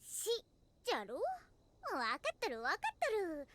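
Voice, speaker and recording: Very high, swooping cheeky little girl. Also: very animated, moderate pace, pushed and bright, exaggerated voice. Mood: scheming, gleeful. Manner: archaic, elderly-style speech, bossy and theatrical. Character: small cheeky girl who talks like a haughty old-timer. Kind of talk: Japanese anime game voice acting.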